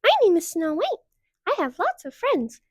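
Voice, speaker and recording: A woman's voice: very high voice, very animated, normal speed, no room sound, studio quality, voice pushed up. A young woman putting on the voice of a sweet fairytale princess, sing-song and overly simple.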